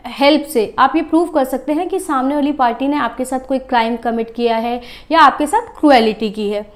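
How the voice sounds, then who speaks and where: A woman with a high-pitched voice speaks as an advocate presenting legal commentary. She explains points directly to her viewers and mixes English legal terms into her sentences. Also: a little mellow.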